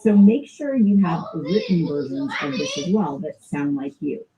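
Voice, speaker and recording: A woman's voice: sits low, big pitch swings, normal speed, noisy background. A woman with a Southern US accent giving a casual, informal sales training lesson and laughing often. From American South. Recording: dull lo-fi recording.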